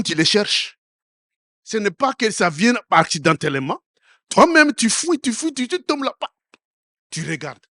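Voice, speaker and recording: Slow, high-voiced French-speaking pastor preaching. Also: male voice, no echo, clean studio sound. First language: another African language. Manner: repeats words and restarts, swells to loud exhortations then drops quiet. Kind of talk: sermon.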